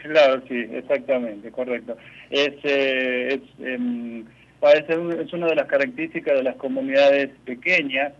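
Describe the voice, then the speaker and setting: A man's voice: medium pitch, fairly even intonation. Argentine man on a muffled line. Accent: Argentine Spanish. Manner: hesitant, many fillers and restarts, soft trailing pauses. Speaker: community spiritual leader. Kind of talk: radio interview.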